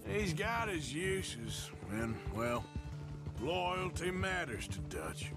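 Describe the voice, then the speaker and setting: A sardonic American gunslinger in a western game, unhurried and drawling. His delivery swings widely between dry mutter and threat, over loud music. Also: a little high, sing-song, exaggerated voice. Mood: wry, sardonic. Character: gruff, sardonic male Old West outlaw gunslinger. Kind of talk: video game cutscene acting.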